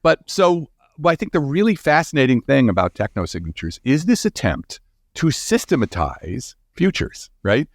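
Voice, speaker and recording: A man's voice: mid-range voice, big pitch swings, moderate pace, no echo, studio quality. A man speaking as a guest scientist in a conversational interview, enthusiastic and emphatic, often tagging his points with "right?".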